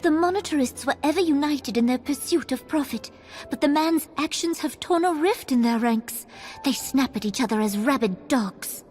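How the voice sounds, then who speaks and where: Woman acting a high-voiced, earnest young queen. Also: darkish tone, faint music behind, close to an everyday voice. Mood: grave, resolute. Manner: regal, measured, archaic phrasing. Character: small, earnest young female ruler, dignified and troubled. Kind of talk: formal fantasy game voice acting.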